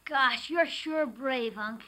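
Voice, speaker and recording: A woman's voice: sits high, slow, sharp tone, exaggerated voice. A woman playing an eager, cheeky young American boy, an admiring nephew in a 1940s radio comedy. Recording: very noisy old radio recording.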